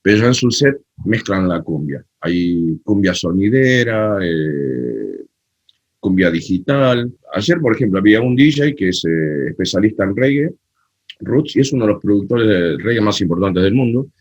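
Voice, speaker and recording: A man with an Argentine accent, a guest telling animated, opinionated stories in a casual interview chat. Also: lowish voice, normal speed, breathy edge, dry recording, lo-fi sound. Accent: Argentine Spanish. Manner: animated storyteller, frank and opinionated. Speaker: guest, cumbia festival creator.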